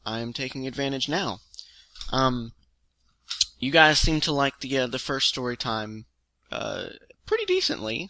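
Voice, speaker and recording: A man's voice: medium pitch, sharp tone, no echo. A man hosting a storytelling series, reading his own science fiction story aloud in a casual, amateur way. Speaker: storytelling host. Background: music under the voice.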